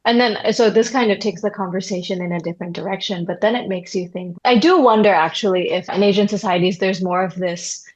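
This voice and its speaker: A woman's voice: medium pitch, a little fast, few pauses, highs cut off. A young adult woman from Indonesia, a therapist hosting a podcast, talking through ideas in a reflective way and often checking in with "right?". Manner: thoughtful, searching, with many fillers and "right?". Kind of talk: reflective conversational interview.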